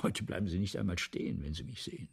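An actor playing a vain, aggrieved aging French playwright in a radio play, husky and gravelly, with a brooding, self-important delivery. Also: male voice, deep voice, quick pace, loud music behind the voice. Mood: wounded, brooding. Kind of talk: 1970s German radio play acting.

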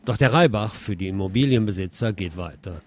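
A man's voice: on the low side, lively intonation, a little fast. A man presenting radio news from a script, reading reports and adding wry asides.

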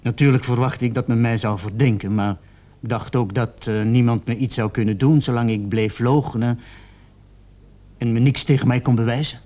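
An actor in a radio drama playing a cornered, remorseful young male murder suspect who confesses in a halting, defensive way. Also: medium pitch, steady tone, mellow, close to an everyday voice. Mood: defensive, explaining.

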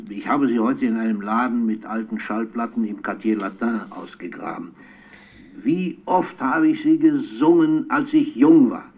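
Old sad poet guy on a tinny tape, husky, really slow, lyrical, radio play letter. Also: mid-range voice. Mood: nostalgic. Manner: slow, lyrical, savouring words with pauses. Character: elderly melancholic poet recording a tender taped letter. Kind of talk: radio drama monologue as spoken letter. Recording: thin, tinny phone-like tape sound.

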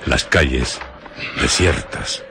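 A man narrating a radio drama as a solemn storyteller, in deliberate, carefully separated literary phrases. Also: rumbling low, animated, vocal fry, noisy background, exaggerated voice. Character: solemn, measured male storyteller.

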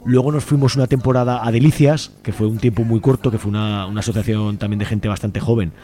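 A man's voice: on the low side, fairly even intonation, fast, warm tone, soft background music. A man chatting casually on the radio as a library volunteer, talkative and colloquial, often checking for agreement with question tags. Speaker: community library volunteer, interview guest. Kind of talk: casual spontaneous radio chat.

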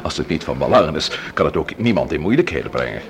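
An actor playing a courteous, attentive police inspector in a radio play, asking polite, probing questions. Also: male voice, a bass voice, very animated, fast talker, slight background noise, exaggerated voice. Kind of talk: radio drama acting.

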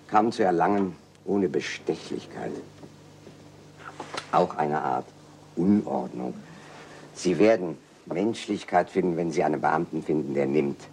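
An actor playing a sardonic, cynical man in a measured, theatrical stage manner. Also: low-pitched, lively intonation, gravelly, darkish tone, a little hiss or hum, heavily acted. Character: cynical man dryly praising bribery as humanity.

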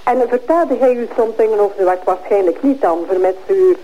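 A woman's voice: mid-range voice, a little fast, highs cut off, slight background noise, close to an everyday voice. An actress playing a sympathetic Flemish policewoman in a radio drama, asking questions hesitantly and groping for words. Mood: probing, sympathetic. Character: tentative, sympathetic female police investigator.

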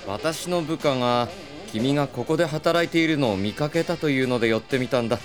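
An actor playing a smugly courteous, wealthy collector in a comic audio drama, patronising in a smooth, polite way. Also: male voice, medium pitch, fairly quick, natural voice. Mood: smugly curious. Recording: music clearly behind the voice.

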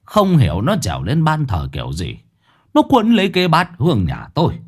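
A man's voice: on the high side, wildly swooping pitch, brisk, slightly rough, pitched above the performer's own voice, exaggerated voice. A man voicing a worried old village grandfather, earnestly explaining.

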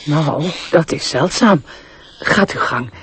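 An actor playing a helpful, homely rabbit gatekeeper in a radio play, polite and obliging. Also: male voice, fairly high pitch, expressive, slow, fairly bright tone. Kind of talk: Dutch radio play acting. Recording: noisy old radio play recording.

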